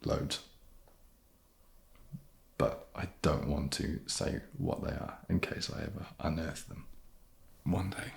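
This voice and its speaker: A man chatting softly in an ASMR question-and-answer style, with a low gravelly voice and a hesitant, dry, self-deprecating manner. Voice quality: low, gravelly, soft-spoken. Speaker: ASMR video creator and host. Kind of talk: soft-spoken ASMR question-and-answer chat.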